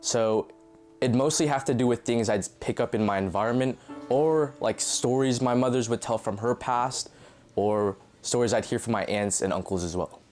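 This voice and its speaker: A young man from the Philippines, giving thoughtful, measured answers in a documentary interview. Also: medium pitch, darkish tone, soft background music.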